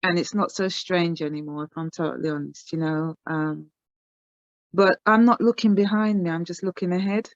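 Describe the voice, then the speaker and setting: Calm English woman, dry dull call line. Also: fairly low pitch, moderate pace, no echo. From England. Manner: calm, self-assured, repeats herself. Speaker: singer, guest. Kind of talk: casual interview on a video call.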